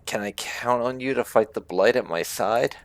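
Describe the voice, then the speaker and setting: A man giving casual let's play commentary as a gaming channel host, halting and dry in delivery. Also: mid-range voice, gravelly, dry recording.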